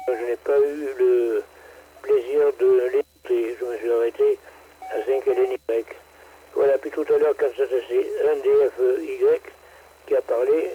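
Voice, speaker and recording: A man, a ham radio operator, chatting slowly and gently on air. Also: medium pitch. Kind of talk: casual on-air radio chat. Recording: tinny radio transmission with faint noise.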